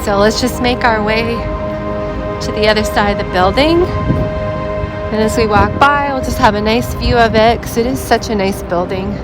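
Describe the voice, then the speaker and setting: A woman narrating a walking tour outdoors, her voice slightly hoarse as she recovers from an illness, talking slowly and explaining as she goes. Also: on the high side, low-quality recording. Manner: slow, unhurried, explanatory. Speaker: tour vlogger. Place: outdoors by a river near waterfalls. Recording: loud music under the voice.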